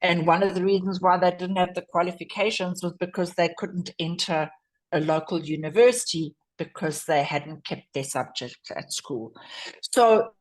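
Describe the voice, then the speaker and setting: South African woman panelist, lowish voice, deliberate slow delivery. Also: low-quality recording. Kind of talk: panel discussion answer.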